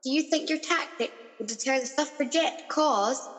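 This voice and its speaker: A woman as a high-voiced, inquisitive radio presenter. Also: moderate pace, a bit bright, big-room sound. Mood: curious. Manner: questioning, plain-spoken. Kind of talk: comic radio play acting.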